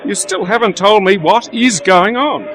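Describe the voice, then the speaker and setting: A man's voice: sits high, measured pace, a bit bright. A man playing a wry, matter-of-fact friend who chides dryly in a radio play. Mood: dry, chiding.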